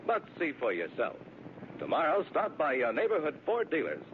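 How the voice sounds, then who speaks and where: A man's voice: fairly high pitch, wildly swooping pitch, moderate pace. A man reading a scripted car commercial as an announcer in an old-time radio style. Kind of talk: vintage radio advertisement read. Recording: tinny vintage radio recording, faint noise.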